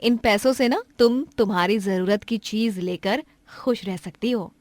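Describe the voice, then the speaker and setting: A woman voicing a kind, generous lady of the house in a cartoon moral story, chatty and gently chiding. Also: mid-range voice, a little slow, dry recording, close to an everyday voice. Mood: generous, friendly.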